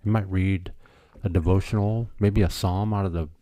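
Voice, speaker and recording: A man in his fifties co-hosting a podcast, chatting casually and telling stories in a relaxed, matter-of-fact way. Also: sits low, moderate pace, no room sound. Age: 50s. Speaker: podcast co-host. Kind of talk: casual chat.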